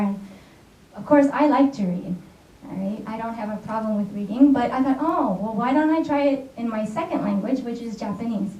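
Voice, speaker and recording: A woman's voice: mid-range voice, dark tone. An American woman, a secondary school teacher, gives a chatty conference talk and keeps checking in with her listeners with "all right?" and "right?". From United States. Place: conference hall. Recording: echoey, dull audio captured from far off in a hall.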